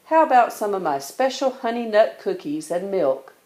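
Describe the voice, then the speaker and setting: A woman's voice: mid-range voice, expressive, moderate pace, dark tone, echoey room, close to an everyday voice. A woman voicing a kindly, hospitable old grandmother bear in a children's storybook.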